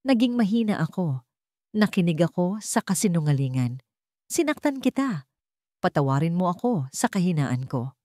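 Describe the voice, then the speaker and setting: A woman voicing a humble, remorseful young man with an earnest, soft-spoken manner in an audio romance drama. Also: on the low side, sing-song, moderate pace, no room sound, studio quality. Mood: remorseful, pleading.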